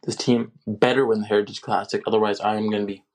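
A man's voice: fairly low pitch, flat delivery, fast, a little mellow. A man leaving a grumbling, sulky message about his team. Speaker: caller.

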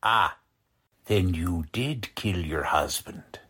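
A man performs a hard-boiled police lieutenant from a 1940s radio play. He is gruff and bullying, with streetwise slang. His delivery is slow and deliberate, with strongly varied intonation. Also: sits low, lively intonation, sharp tone, full character voice. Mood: stern, sarcastic. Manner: slangy, ungrammatical tough-cop talk, accusing. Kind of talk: 1940s radio drama acting.

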